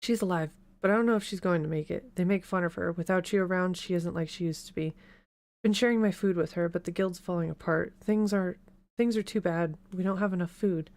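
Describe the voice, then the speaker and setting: A woman reading the part of an anxious young male street orphan, earnest and pleading, a little louder than her narration. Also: on the low side, a little fast, no echo, lightly acted. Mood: worried.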